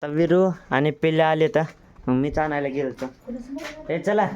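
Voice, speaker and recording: A man's voice: moderate pace, muffled recording, over loud music. A young man with a rural Marathwada accent, chatting casually as he vlogs his day. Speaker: vlogger. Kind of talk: casual vlog chat. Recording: noisy outdoor vlog, traffic rumble.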